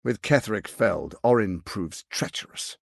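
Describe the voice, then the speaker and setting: A man's voice: fairly low pitch, lively intonation, normal speed, vocal fry, dry recording, clean studio sound. A man voicing a suave, scheming, arrogant archduke in a fantasy video game, silky, grandiose and condescending in his theatrical delivery.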